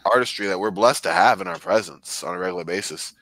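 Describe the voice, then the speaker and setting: A man's voice: low-pitched, expressive, fast talker, vocal fry, fairly bright tone, no room sound. A man co-hosting a podcast with a case of the sniffles, talking in defensive, exasperated banter. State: stuffy with sniffles. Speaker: podcast co-host. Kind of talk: casual banter. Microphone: headset.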